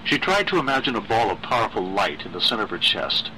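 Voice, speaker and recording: A male storyteller reads literary horror in a measured, dramatic style. His voice is on the low side and strikingly bright and sharp, with faint noise behind it. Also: sing-song, rough recording. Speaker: story narrator.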